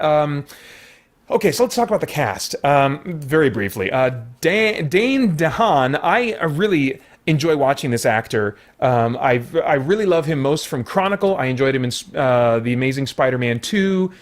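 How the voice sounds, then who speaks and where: A man hosting a casual spoken movie review, rambling along with jokey, animated asides. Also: on the high side, normal speed. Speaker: film reviewer and host.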